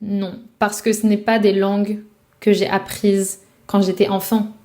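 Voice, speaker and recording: A woman's voice: mid-range voice, flat delivery, a little slow, darkish tone. A woman from France, a language coach, talking to the viewer in a reflective, casual way about life abroad. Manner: reflective, speaks directly to the viewer, casual fillers. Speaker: French speaking coach. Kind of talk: advice talk to camera.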